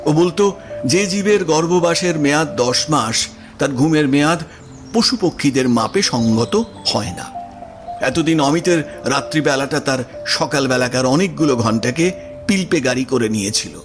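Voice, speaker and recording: A man's voice: fairly high pitch, animated, moderate pace. A man reading literary prose as the narrator of an audio story. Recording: music under the voice. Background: background music.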